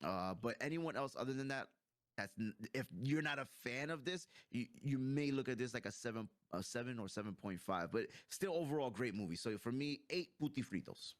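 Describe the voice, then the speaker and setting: A man's voice: mid-range voice, expressive, a little fast, no room sound, studio quality. A man co-hosting a casual movie review, chatting in a blunt, opinionated, slangy way. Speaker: co-host of a video game movie review. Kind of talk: casual review chat between friends.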